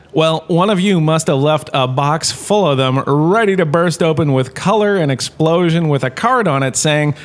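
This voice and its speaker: Man playing a chatty, higher-voiced washed-up star professor. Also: natural voice. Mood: excited, oblivious. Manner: rambling, chatty, theatrical enthusiasm. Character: washed-up holodrama star turned cheerful, oblivious professor. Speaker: lecturer. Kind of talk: comedic in-universe audio drama lecture.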